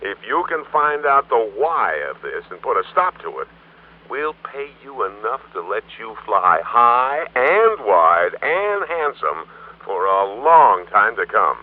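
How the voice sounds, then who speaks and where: A man's voice: medium pitch, big pitch swings, a little slow. An actor playing a droll, casual insurance manager, teasing his way through a phone scene in an old radio drama. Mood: droll, persuasive. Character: droll, folksy male insurance company desk manager. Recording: tinny, band-limited old radio phone sound.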